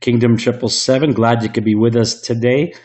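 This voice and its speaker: A man with a slightly rough voice from illness, presenting an online webinar into a computer mic in a salesy way with frequent restarts. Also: medium pitch, steady tone, fairly quick, darkish tone. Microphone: computer microphone.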